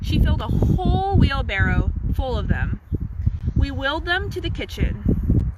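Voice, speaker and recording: Young female storybook narrator, high-pitched, on a very noisy outdoor recording. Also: very animated, a little slow, highs cut off. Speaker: intern reading a story. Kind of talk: children's picture-book narration read aloud.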